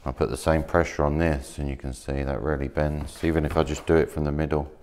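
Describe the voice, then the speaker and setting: Low-voiced man demonstrating in a workshop. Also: a little fast, a little hiss or hum. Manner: relaxed, rambling, many fillers and restarts. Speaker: maker demonstrating laser-cut materials. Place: workshop, indoors, at a work table.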